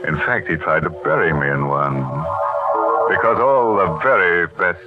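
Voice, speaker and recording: An actor playing a mock-courteous, morbidly joking horror host in 1940s radio style. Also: male voice, deep voice, sing-song, a little slow. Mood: macabre glee. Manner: mock-courteous, theatrical, macabre. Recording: muffled old radio broadcast with music.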